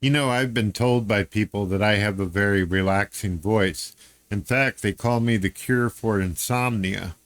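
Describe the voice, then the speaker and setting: American guy, lowish voice, laid-back and sarcastic, dry close mic. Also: normal speed, dry recording. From United States. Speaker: podcast host. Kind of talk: casual conversation.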